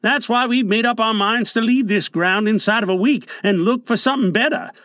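A man voicing a calm, fair-minded old prospector with a folksy, reassuring manner in an audiobook. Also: sits high, fairly quick, no echo, voice pushed up. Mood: easygoing. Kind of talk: audiobook character voice.

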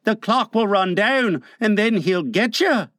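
A man's voice: high voice, lively intonation, measured pace, a bit bright, no room sound, clean studio sound, voice pushed up. A man voicing a simple, chummy pirate boatswain in a storybook reading, earnest and guileless. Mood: cheerfully blunt.

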